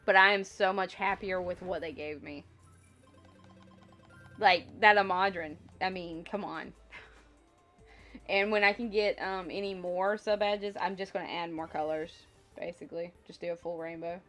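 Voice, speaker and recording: A woman's voice: lowish voice, steady tone, moderate pace, pauses often, fairly bright tone. Congested woman chatting casually on a livestream. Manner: rambling, conversational, thinking aloud. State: slightly congested with a lingering cough. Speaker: livestream host. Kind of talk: casual livestream chat, answering viewers. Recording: music behind the voice. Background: music.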